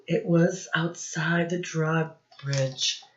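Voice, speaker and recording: A woman's voice: sits low, fairly even intonation, very slow, muffled recording. A woman speaking as a teacher in a children's read-aloud, explaining words and putting questions to her young listeners. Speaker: teacher reading storybook to children.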